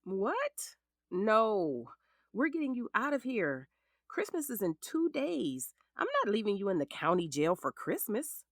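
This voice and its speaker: A woman's voice: medium pitch, big pitch swings, a little slow, no echo, clean studio sound. An actress playing a frank, sassy middle-aged lawyer in a naturalistic audio drama, protective of the ex-husband she represents. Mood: concerned. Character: frank, sassy female lawyer, protective ex-wife of her client. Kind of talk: naturalistic scripted drama dialogue.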